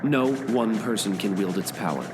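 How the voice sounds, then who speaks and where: Grave, low man as secret agent. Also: animated, normal speed, noisy background, close to an everyday voice. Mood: stern. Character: stern male secret agent, flatly refusing. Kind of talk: radio play acting.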